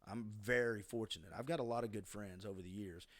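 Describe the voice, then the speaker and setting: A man's voice: on the low side, fairly even intonation, quick pace. A middle-aged man on a podcast, sharing life lessons in a reflective, conversational way. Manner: reflective, advice-giving, conversational. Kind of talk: casual podcast chat.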